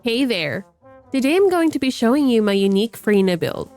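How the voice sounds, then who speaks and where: A woman's voice: a little high, very animated, fairly quick, a little mellow, faint music behind. A woman with a stuffy voice from a cold, explaining a game build in a matter-of-fact voice-over. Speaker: game guide creator.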